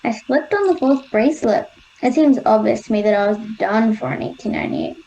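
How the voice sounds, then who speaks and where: A woman's voice: on the high side, lively intonation, a little fast, creaky, dark tone, rough recording. An Australian girl of ten or eleven playing a plucky, defiant girl from the past in an amateur radio play. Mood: curious. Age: child, 10 to 11. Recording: home video-call recording.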